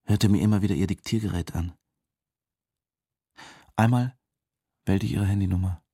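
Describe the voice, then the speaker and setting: An actor playing a weary, resigned diving instructor who narrates a radio drama in a terse, matter-of-fact way. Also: male voice, low voice, steady tone, normal speed, mellow, breathy edge, no room sound, clean studio sound. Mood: sober. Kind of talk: German radio drama, intimate first-person narration and dialogue.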